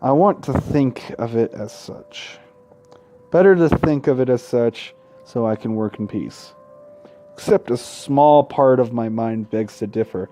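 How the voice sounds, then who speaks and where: A young man hosting a casual Let's Play, talking in a halting way and stumbling over his words. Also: medium pitch, mellow. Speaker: gaming video host.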